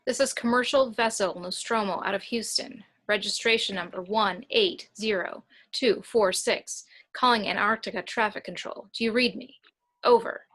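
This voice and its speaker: A woman's voice: mid-range voice, normal speed, fairly bright tone, natural voice. A woman reading the part of a warrant officer on a commercial spaceship in a script table read. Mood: businesslike.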